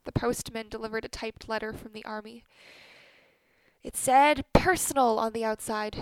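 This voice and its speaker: Woman playing a bitter, commanding older matriarch, high-voiced. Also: smooth, dry recording, rough recording. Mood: grieving, bitter. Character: bitter, commanding older wife and bereaved mother. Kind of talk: radio play acting.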